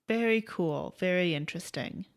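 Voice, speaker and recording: A woman's voice: lowish voice, moderate pace. A woman co-hosting a film podcast who adds short, interested reactions and comments in casual chat. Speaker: podcast co-host.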